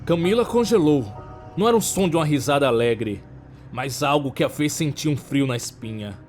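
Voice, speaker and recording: A man with a Brazilian accent narrating a scripted horror story in a measured, dramatic way. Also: on the high side, lively intonation, darkish tone, faint music behind.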